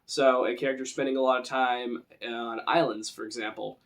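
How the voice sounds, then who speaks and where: A man's voice: medium pitch, steady tone, normal speed. A man presenting an informal tutorial on role-playing to camera, talking in a casual, explanatory way.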